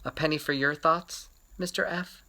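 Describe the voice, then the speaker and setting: A man voicing a dreamy young schoolgirl from a storybook, gentle and earnest in manner. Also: fairly high pitch, steady tone, moderate pace, voice pushed up.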